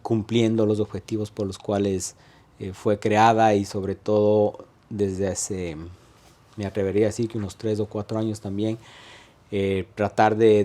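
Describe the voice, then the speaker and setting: A man with an Ecuadorian accent in his thirties, a guest telling his life story in an interview, pausing often on fillers and restarting phrases. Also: lowish voice, warm tone. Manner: hesitant, many "eh" fillers and restarts. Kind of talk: conversational interview.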